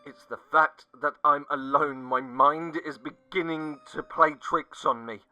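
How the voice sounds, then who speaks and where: A man narrating a scripted first-person horror story, dramatic and sinking to hushed tones at the tense moments. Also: fairly high pitch, fairly even intonation, a little slow. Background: faint music.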